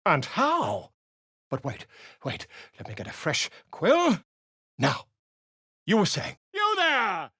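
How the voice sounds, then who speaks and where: A man's voice: high voice, wildly swooping pitch, takes it slowly, pauses often, sharp tone, husky, dry recording, heavily acted. Video game role: a flamboyant, grandiloquent male chronicler, sing-song delivery, dry close recording. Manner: grandiloquent, theatrical flourishes.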